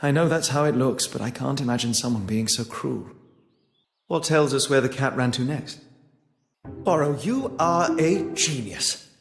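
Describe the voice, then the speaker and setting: A man playing an earnest, kindly, polite gentleman who assists a detective in a mystery game. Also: medium pitch, a little slow, vocal fry. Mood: eager. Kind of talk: scripted game dialogue.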